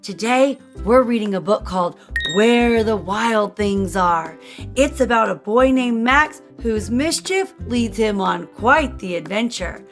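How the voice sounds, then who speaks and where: A woman hosting a children's read-aloud, narrating a storybook expressively and deliberately for young listeners. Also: fairly high pitch, animated, faint music behind. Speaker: host reading children's books aloud.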